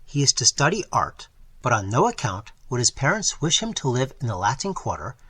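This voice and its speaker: A man voicing a stiff older American gentleman who lectures earnestly and formally. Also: mid-range voice, sing-song, fairly quick, slightly rough, dry recording, heavily acted. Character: stiff elderly American family friend, moralizing.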